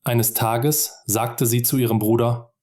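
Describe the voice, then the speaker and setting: A man's voice: medium pitch, fairly even intonation, slow pace, dark tone. A man narrating a simple story for German learners, speaking directly and encouragingly to the listener.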